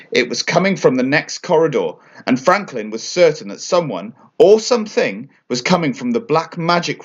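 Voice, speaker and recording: A man reading a children's bedtime story aloud as an expressive narrator. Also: mid-range voice, highs cut off.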